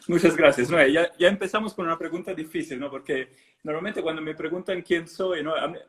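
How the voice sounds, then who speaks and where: A man's voice: fairly high pitch, normal speed, fairly bright tone. A middle-aged man from southern Italy with an Italian accent, telling his story conversationally as a guest, with hesitant phrasing and frequent checking tags. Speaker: interview guest, author. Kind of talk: conversational personal account.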